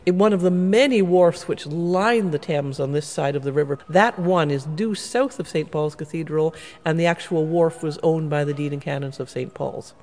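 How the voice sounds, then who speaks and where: A man with a slightly high, gravelly voice explains church history in a measured, scholarly way. His words ring out with a long reverb in a stone church. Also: a little mellow. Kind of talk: explanatory interview answers.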